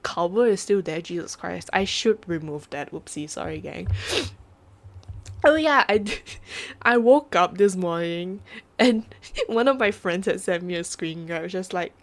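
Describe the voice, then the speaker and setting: A woman's voice: lowish voice, a little slow. Sniffly giggling young woman. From Singapore. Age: young adult. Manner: giggly, rambling, self-mocking. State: sniffly, with a runny nose. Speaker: VTuber streamer. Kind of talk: casual live-stream chat. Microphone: home streaming microphone.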